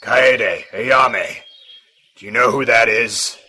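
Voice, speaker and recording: A man's voice: mid-range voice, slow, pushed and bright. A man playing a grieving, vengeful police detective with an intense, determined manner in an amateur radio drama. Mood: wary. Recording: faint background noise.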